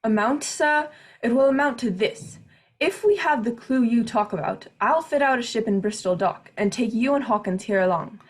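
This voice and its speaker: A woman playing a pompous, excitable country squire in a radio play, with emphatic old-fashioned diction. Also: on the high side, normal speed, slightly rough. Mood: excited, commanding.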